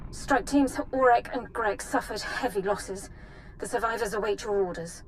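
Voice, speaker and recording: A woman's voice: mid-range voice, moderate pace, a little hiss or hum, lightly acted, low-quality recording. An actress playing a disciplined female military officer in a video game, reporting in a formal, businesslike way. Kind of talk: video game cutscene acting.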